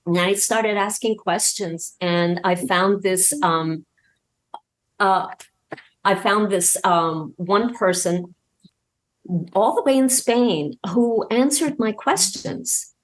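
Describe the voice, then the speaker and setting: A woman talking casually as an interview guest, excited and halting, often repeating words as she goes. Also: medium pitch, rough recording. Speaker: podcast guest, audio drama creator.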